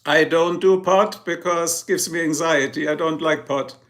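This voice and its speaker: A man's voice: on the high side, steady tone, normal speed, a bit bright. A man with a German accent hosting a livestream, chatting casually with viewers in a joking, rambling way and laughing often. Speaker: livestream host.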